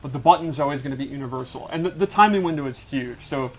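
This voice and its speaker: A man's voice: medium pitch, quick pace. A man who works as a game developer, giving a casual, enthusiastic walkthrough of gameplay mechanics. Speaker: game developer demonstrating a fighting game character. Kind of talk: casual explanatory gameplay commentary.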